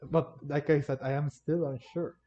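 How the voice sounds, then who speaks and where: A man giving casual gameplay commentary, rambling and halting, often repeating his words. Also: on the high side, steady tone. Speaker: gaming video creator. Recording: dull, lo-fi home microphone. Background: faint game music.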